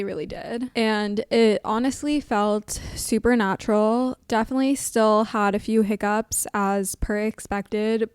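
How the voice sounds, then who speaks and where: A woman in her twenties hosting an advice podcast, chatting casually with a level, monotone delivery and lots of "like" fillers. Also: mid-range voice, smooth, dry recording, studio quality. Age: 20s. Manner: chatty, says she sounds monotone, frequent "like" fillers. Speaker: podcast host.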